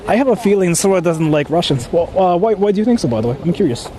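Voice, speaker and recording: A man from Japan with a fairly high voice talks casually to his livestream audience. He speaks in informal slang and often repeats his phrases. Clear city and vehicle noise surrounds him outdoors. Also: lively intonation, fast, warm tone. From Japan (Ichihara). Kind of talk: casual livestream chat to viewers. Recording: noisy urban street with traffic.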